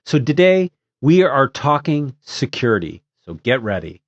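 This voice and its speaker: A man's voice: mid-range voice, big pitch swings, a little slow, muffled recording. A man hosting an interview podcast, introducing and questioning his guest. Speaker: podcast host.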